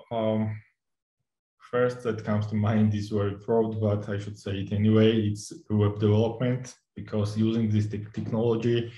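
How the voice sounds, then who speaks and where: A young man with a Georgian accent speaks in a low, mellow voice. He stops and restarts often and fills gaps with "uh", and the room around him is echoey. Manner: hesitant, many fillers and restarts, laughs often. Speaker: instructor. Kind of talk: interview answers.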